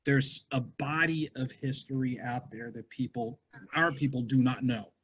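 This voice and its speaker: An upbeat man hosts an author chat over a video call. His voice has a husky edge. He talks in long, friendly, rambling questions. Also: mid-range voice, steady tone, measured pace, a bit bright. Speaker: host. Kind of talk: casual interview hosting.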